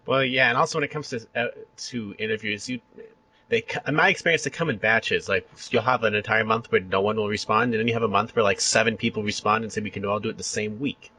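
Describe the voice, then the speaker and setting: A man hosting a podcast interview, chatting casually and acting out quoted reactions in an animated way. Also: on the low side, quick pace, husky, poor audio.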